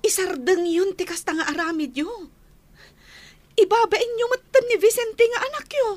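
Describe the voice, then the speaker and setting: A woman's voice: very high-pitched, normal speed, dry recording, faint music behind. An actress playing a stern wife in a radio comedy drama, firmly admonishing her boastful husband. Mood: reproving. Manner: firm, admonishing.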